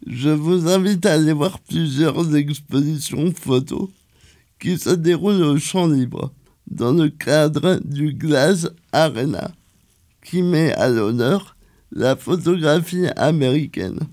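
Slow, mellow-voiced man reading a culture column. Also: on the high side. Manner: slow and careful, with hesitations and fillers. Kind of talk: reading a radio events column.

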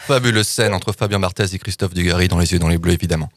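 A man's voice: low voice, fast talker, slight background noise. A man in his thirties delivering a scripted humorous column for a podcast in a playful, informal, mock-dramatic way. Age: 30s.

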